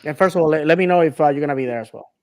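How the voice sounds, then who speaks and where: A man hosting a casual podcast chat, talking haltingly with lots of fillers. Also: on the high side, crowd noise. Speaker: podcast host.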